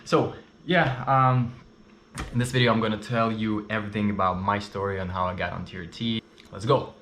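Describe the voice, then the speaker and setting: A man's voice: on the low side, steady tone, moderate pace, fairly bright tone, a little hiss or hum. A man in his thirties with a Russian and Ukrainian accent, a non-native English speaker telling his personal story to camera in a candid, chatty way with frequent fillers. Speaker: vlogger. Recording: roomy echo.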